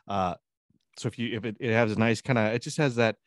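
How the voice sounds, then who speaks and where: A man's voice: on the low side, a little fast, dry recording, clean studio sound. A man chatting casually in a profane, rambling way, often jumping in with interjections. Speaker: talk show co-host. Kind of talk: casual bantering chat.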